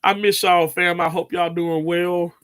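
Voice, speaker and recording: A man's voice: sits high, level tone, measured pace, fairly bright tone, smooth. A man, chatting casually with viewers on a livestream, rambling with lots of fillers and restarts. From Mississippi, US. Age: 30s. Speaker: livestream host.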